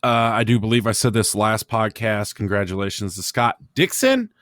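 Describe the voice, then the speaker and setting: A man with a fairly low voice hosts a casual motorsport podcast. He thinks aloud in a halting, opinionated way. The sound is very dry, with no room around him. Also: fairly bright tone, dry recording. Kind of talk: casual racing discussion, opinionated.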